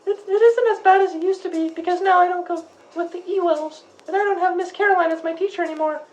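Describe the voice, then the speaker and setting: A woman's voice: very high voice, fairly even intonation, fast talker, darkish tone, low-quality recording. A woman playing a blunt, indignant nine-year-old girl in an acted radio interview. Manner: blunt, childlike, argumentative.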